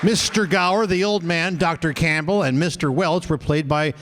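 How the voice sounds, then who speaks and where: A man's voice: on the high side, normal speed, fairly bright tone, some chatter behind. A man announcing cast credits live on stage at a show. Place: live venue with an audience. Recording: noisy live audience recording.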